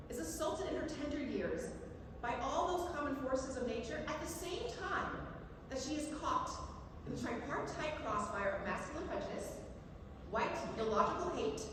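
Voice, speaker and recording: A woman reads literature aloud on stage in a high, declamatory voice. Her phrasing is measured and articulate, heard from far off in a reverberant hall with clear noise. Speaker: stage reader. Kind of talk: theatrical staged literary reading. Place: library district theater stage. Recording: echoey theater, distant mic, noisy.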